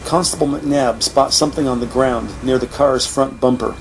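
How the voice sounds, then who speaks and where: A man's voice: mid-range voice, steady tone, normal speed, gravelly, a little hiss or hum, rough recording. A man reading scripted narration for an audio drama.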